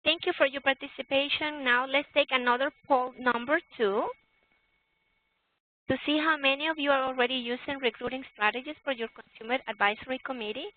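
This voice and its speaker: A woman's voice: high voice, fairly even intonation, brisk. A woman moderating a webinar, reading polite scripted transitions. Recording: tinny phone line.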